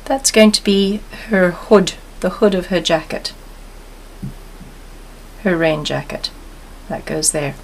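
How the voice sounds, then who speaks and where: A woman teaching an acrylic painting step by step, calmly explaining each brushstroke and repeating instructions. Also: fairly low pitch, slow pace, long pauses, slight background noise, poor audio. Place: studio table, overhead camera on canvas.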